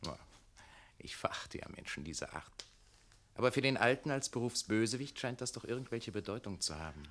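An actor playing a mayor's son and secretary in a German radio play, measured, coaxing and insistent in his questioning. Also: medium pitch, expressive, fairly bright tone, close to an everyday voice. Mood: scornful, probing.

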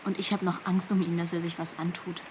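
A woman's voice: lowish voice, little pitch movement, brisk, noisy background, lightly acted. An actress playing a wounded, scornful teenage ex-girlfriend with a frank, cutting manner in a naturalistic radio drama. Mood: hurt. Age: young adult.

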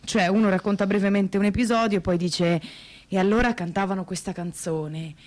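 A woman's voice: mid-range voice, a little fast, a little mellow. A woman recounting personal family memories in a conversational, explanatory way. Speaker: mother. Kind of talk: personal reminiscence.

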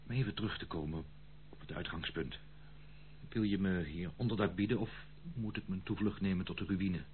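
An actor playing a charming, spendthrift prodigal son in an old Dutch radio play, pleading in a theatrical, hesitant way. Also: male voice, sits low, brisk, natural voice. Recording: muffled phone-like old radio recording, hum.

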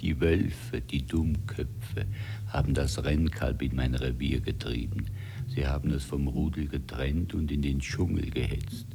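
An actor playing an old, grumbling, scornful bear in a theatrical 1950s German radio drama. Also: male voice, extremely low pitch, measured pace, gravelly, background noise, full character voice. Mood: scornful, gloating.

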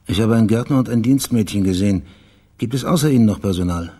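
Casting: male actor for radio-play investigator, deep-set husky voice, courteous yet insistent questioner. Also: fast, mellow, close to an everyday voice. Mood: politely probing. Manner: polite, insistent, matter-of-fact questioning. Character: probing, persistent male investigator on a case. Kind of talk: radio crime drama dialogue.

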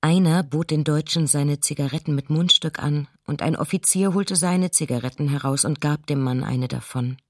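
A woman's voice: low-pitched, normal speed, few pauses, no echo. Sober woman diarist, dry sound. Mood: sober, observant. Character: sober city diarist observing occupying soldiers. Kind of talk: radio docudrama reading of testimony.

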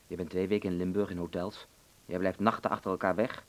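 A cynical, self-satisfied lawyer , played by a male actor in a wartime radio drama. His voice is on the low side, sardonic and coarse, always needling. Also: level tone, fast talker, natural voice. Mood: needling, crude. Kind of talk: Dutch radio play acting.

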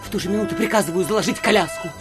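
An actress playing an excitable, breathless provincial society lady who gossips with gushing, theatrical alarm in an audio drama. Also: medium pitch, rapid-fire, music in the background, lightly acted. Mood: excited, alarmed. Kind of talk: audio drama acting of classic literature.

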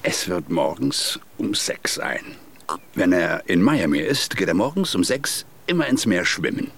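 An actor playing a scheming older husband who wants a man killed, sly and gruff with chuckling menace in a radio drama. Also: deep voice, very animated, unhurried, a bit bright, exaggerated voice. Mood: menacing.

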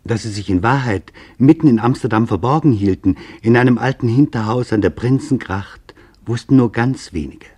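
A man narrating a scripted literary radio documentary in a solemn, deliberate, formal manner, with a husky voice. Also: mid-range voice, darkish tone.

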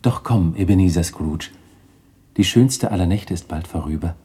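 Deep, husky, solemn Christmas spirit. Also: male voice, warm tone. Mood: grave, guiding. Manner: measured, solemn pronouncements. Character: solemn male Christmas spirit guiding a sinner, grave and stern. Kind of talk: 1960s German radio play acting.

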